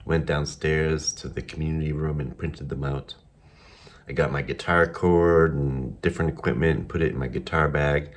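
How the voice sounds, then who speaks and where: A man with an extremely deep voice and a Boston accent talks through his day in a casual video diary. He speaks haltingly and candidly, full of ums and trailing pauses. A faint hum of room ambience sits behind him. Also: fairly even intonation. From Massachusetts. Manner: halting, many ums, soft-spoken and candid. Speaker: vlogger.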